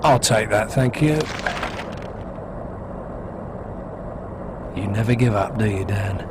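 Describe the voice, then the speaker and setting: An actor playing a gloating, scheming villain lord in a game cutscene, speaking with sneering, theatrical mockery. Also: male voice, fairly low pitch, normal speed, background noise, lightly acted.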